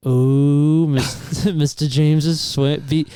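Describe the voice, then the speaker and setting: A soft-spoken young man from Massachusetts, a comedian and school custodian chatting easily as a podcast guest. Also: fairly high pitch, little pitch movement, slow, smooth, no room sound. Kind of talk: casual podcast chat.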